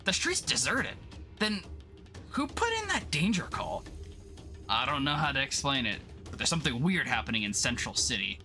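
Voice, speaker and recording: A man playing jumpy working-class everymen, cabbies and cops, in a colloquial, excitable comic-book drama. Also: mid-range voice, normal speed, exaggerated voice. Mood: urgent, puzzled. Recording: music behind the voice.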